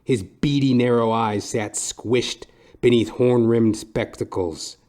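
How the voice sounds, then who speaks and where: A man playing a sardonic, world-weary private detective, narrating deadpan in hard-boiled style for an audio dramedy. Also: medium pitch, takes it slowly, close to an everyday voice. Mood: scornful narration. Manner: wry, deadpan wisecracking. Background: music behind the voice.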